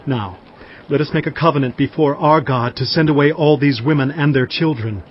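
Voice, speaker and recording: Guy acting a repentant israelite pleading earnestly, bible drama, voice a bit high, muffled recording. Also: fairly quick, slight background noise, natural voice. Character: contrite yet hopeful Israelite man urging covenant. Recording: dull lo-fi audio.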